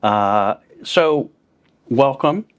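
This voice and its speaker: A man's voice: medium pitch, lively intonation, extremely slow. A man hosting an interview podcast, asking plainspoken questions in a friendly way. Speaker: podcast host.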